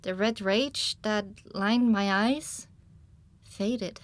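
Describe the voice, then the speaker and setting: A woman's voice: mid-range voice, drawn-out words. A woman reading dramatic first-person audiobook narration, with music playing underneath.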